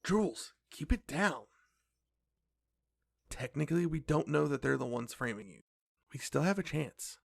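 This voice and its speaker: A man acting a polite, earnest cafe owner in a fantasy audio drama, speaking in a measured way. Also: a little high, expressive, creaky, dry recording, clean studio sound, heavily acted. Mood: serious, explanatory. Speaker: voice actor in a fiction podcast.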